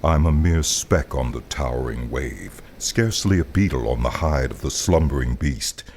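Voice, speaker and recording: An American man reciting a poem as a voice artist, with deliberate, expressive and theatrical phrasing. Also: extremely low pitch, slight background noise. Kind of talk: dramatic poetry recitation.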